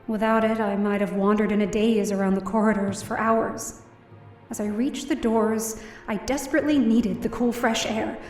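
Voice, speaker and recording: A woman's voice: medium pitch, steady tone, normal speed, darkish tone, reverberant, distant mic, faint music behind, lightly acted. A woman playing a down-on-her-luck, distressed young woman narrating her own story in an audio drama. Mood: numb, anguished. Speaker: lead actor in audio drama. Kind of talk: first-person dramatic narration.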